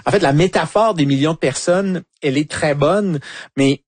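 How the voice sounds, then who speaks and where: Higher-pitched Quebec male radio host. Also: moderate pace, breathy edge, no echo. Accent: Quebec French. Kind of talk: live conversational presenting.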